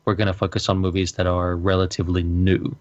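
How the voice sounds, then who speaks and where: Deep-voiced man on a dull mic. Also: monotone, fast talker. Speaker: podcast co-host. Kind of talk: casual discussion.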